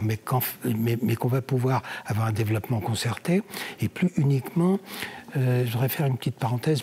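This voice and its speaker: A man giving explanatory interview answers as a local elected official, deliberate and building an argument. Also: medium pitch, a little mellow, slight background noise.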